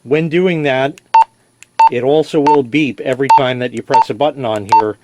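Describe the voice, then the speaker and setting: A man's voice: medium pitch, normal speed, heavy background noise. A man giving a casual, plain-spoken product demonstration, explaining as he goes and correcting himself. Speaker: product reviewer. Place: indoors in a basement.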